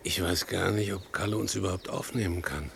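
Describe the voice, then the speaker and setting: A poor old farmhand father in a radio drama. The voice is low and gravelly, humble and anxious, rambling haltingly. Faint ambience and noise sit behind it. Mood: weary, doubtful. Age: older. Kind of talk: radio play acting.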